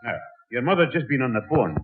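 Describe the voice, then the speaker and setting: Blustering grandad, elderly, commanding, very varied pitch, acted by a man; tinny vintage radio comedy. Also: lively intonation, fast, heavy background noise, lightly acted. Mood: stern. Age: older. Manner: scolding, commanding. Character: stern, blustering old grandfather. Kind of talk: 1960s radio sitcom acting. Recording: tinny old radio recording.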